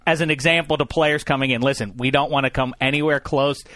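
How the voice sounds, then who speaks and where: A man's voice: mid-range voice, steady tone, quick pace, pushed and bright, dry recording, studio quality. A man hosting a podcast, speaking casually about sports and movies in an opinionated, teasing way full of asides. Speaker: podcast host. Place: podcast studio.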